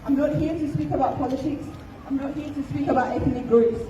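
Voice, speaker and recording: Woman rally speaker from Ethiopia, pained and emphatic, high pitch, heard from afar. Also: fairly even intonation, brisk, highs cut off, noisy background. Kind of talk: impassioned protest speech. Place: outdoor rally. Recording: distant, echoey outdoor recording.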